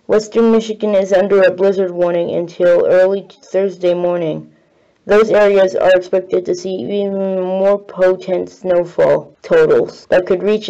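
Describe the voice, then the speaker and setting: Female weather forecaster, dark mellow voice, scripted report, muffled lo-fi audio. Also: lowish voice.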